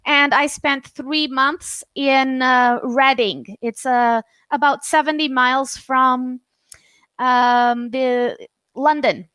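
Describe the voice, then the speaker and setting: Canadian lady english tutor on a video call, bright voice, dry sound, lots of ums. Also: sits high, steady tone, slow, clear, resonant tone, dry recording. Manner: hesitant, many fillers and restarts. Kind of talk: casual online conversation lesson.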